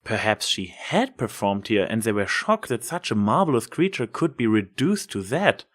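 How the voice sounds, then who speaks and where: A man's voice: lowish voice, big pitch swings, normal speed. A man narrating fiction from a script as a dramatic story reader.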